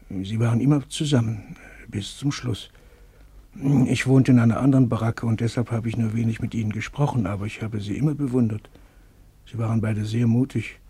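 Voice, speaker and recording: A haunted, weary camp survivor, speaks in halting, hesitant bursts. His voice is dark and mellow, and very husky and breathy. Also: fairly low pitch, no echo. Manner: halting, hesitant, with many pauses. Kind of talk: radio play acting.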